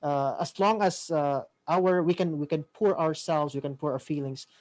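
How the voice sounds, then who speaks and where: A man with a Filipino accent moderating a discussion off the cuff, halting and often repeating his words. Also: fairly high pitch, a little mellow. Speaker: host moderating a student question session. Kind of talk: unscripted moderating.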